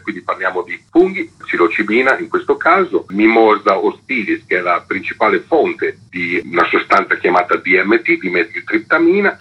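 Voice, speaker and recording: A man's voice: on the low side, breathy edge, highs cut off. A man speaking as an author and interview guest, giving explanatory answers over a radio phone link.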